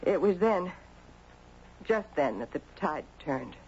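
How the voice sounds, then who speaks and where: An actress playing a gracious, melancholy mother, speaking in a hesitant, measured and dramatic way in a 1970s radio drama. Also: low voice, sing-song, a little mellow, exaggerated voice. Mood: grave, haunted. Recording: dull noisy 1970s radio broadcast.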